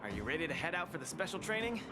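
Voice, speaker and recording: An actor voicing a boisterous, eager young male fighting champion in a video game, with an excitable, cajoling delivery. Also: a little high, rapid-fire. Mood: cheerful, eager. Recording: loud music under the voice.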